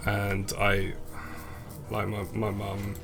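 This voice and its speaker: A young English man streaming a game, chatting with viewers in a relaxed, rambling way full of fillers. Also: on the low side, slow, vocal fry. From England. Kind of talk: casual stream chat with viewers. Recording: faint background music.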